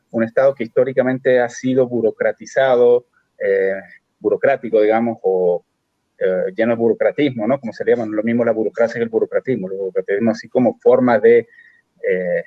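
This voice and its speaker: A Chilean man talks through political ideas in a halting way, with frequent pauses and restarts. His voice sits at an ordinary middle pitch. The sound is dull and lo-fi, with no room echo. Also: a little mellow. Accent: Chilean Spanish. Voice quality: halting, many fillers and restarts. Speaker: guest. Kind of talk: political discussion.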